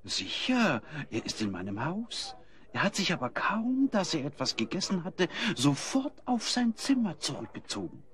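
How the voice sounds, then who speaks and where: A man's voice: on the high side, huge pitch swings, normal speed, a bit bright. A man playing a gossipy, easily indignant innkeeper in a German audio play, given to astonished exclamations. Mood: amused, confiding. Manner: theatrical, prone to astonished exclamations. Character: indignant, gossipy male innkeeper of a town inn.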